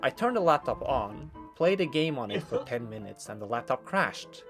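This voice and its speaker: A man's voice: mid-range voice, normal speed, soft background music. Wry young man narrating a vlog. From Norway. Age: young adult. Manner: dry, wry, deadpan humor. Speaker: vlogger narrating his life. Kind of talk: scripted voice-over narration.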